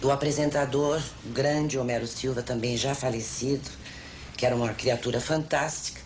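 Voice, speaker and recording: A woman's voice: extremely low pitch, steady tone, moderate pace, darkish tone. An older woman with a Brazilian accent recollecting her career with nostalgia and effusive affection for colleagues. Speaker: veteran television performer. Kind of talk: nostalgic recollection in a documentary interview. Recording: old TV recording with faint noise.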